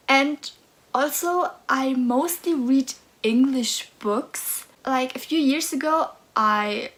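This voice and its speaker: A woman in her twenties from Austria, with a German accent, talking nervously and hesitantly in a casual book vlog. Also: a little high. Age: 20s. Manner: nervous, hesitant, soft-spoken. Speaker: book vlogger.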